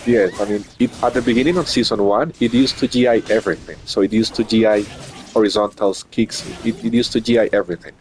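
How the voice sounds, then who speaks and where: A man with a fairly low voice commentates a fighting game tournament in English, his second language. He explains tactics at length, restarting his sentences often and laughing a little nervously. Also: fast talker, darkish tone, slight background noise. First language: not English. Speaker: esports commentator.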